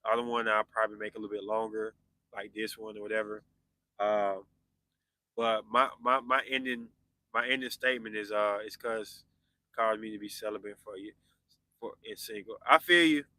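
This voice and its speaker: A man's voice: lowish voice, fairly even intonation, normal speed, sharp tone, low-quality recording. A man in his forties hosting a podcast, chatting casually with live viewers and answering their comments. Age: 40s. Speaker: podcast host.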